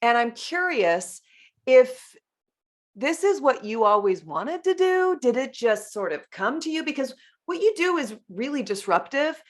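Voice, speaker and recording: A woman's voice: high voice, sing-song, unhurried. A woman hosting a podcast interview, asking long, effusive questions and telling family stories. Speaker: podcast host. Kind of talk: interview conversation.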